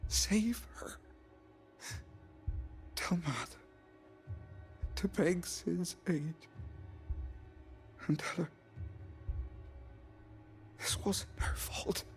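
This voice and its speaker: A man's voice: high voice, darkish tone, exaggerated voice. An actor playing a wounded, dying man who pleads weakly in gasping, strained breaths in a game drama. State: weak, gasping. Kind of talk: dramatic video game acting.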